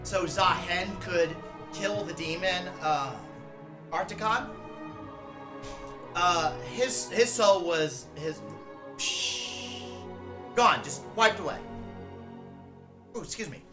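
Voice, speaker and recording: A man giving casual reaction commentary on game lore, informal and halting as he searches for names. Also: high voice, over music. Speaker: reactor, drag performer and cosplayer.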